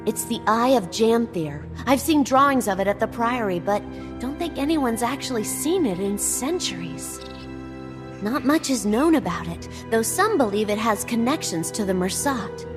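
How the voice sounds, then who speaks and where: A woman's voice: a little high, lively intonation, moderate pace, natural voice. Wry, nerdy female explorer for a fantasy game played by a woman, bookish explainer, big reverb, music underneath. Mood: keen, scholarly. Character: wry, nerdy female explorer-scholar, quick with dark jokes. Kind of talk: scripted video game dialogue. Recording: long hall reverb, game music behind.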